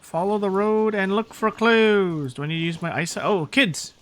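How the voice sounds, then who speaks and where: A woman giving casual, excitable live commentary as she streams a game, playfully reacting. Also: mid-range voice, normal speed.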